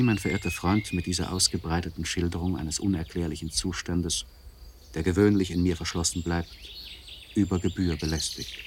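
An actor playing an anguished, courtly poet in a German radio play monologue from the 1960s, declaiming solemnly with measured, weighty phrasing. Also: male voice, on the low side, close to an everyday voice. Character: anguished, courtly young poet writing a confessional letter. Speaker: actor reading a literary letter.

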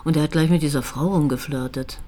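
Probing female detective with a lowish voice. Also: steady tone, fast, warm tone. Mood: amused, gossipy. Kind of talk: naturalistic German crime drama dialogue.

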